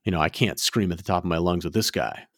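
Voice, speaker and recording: A man's voice: sits low, quick pace, no room sound, studio quality. A man co-hosting a podcast, talking frankly and rambling, with many restarts. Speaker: podcast co-host. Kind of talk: casual podcast chat.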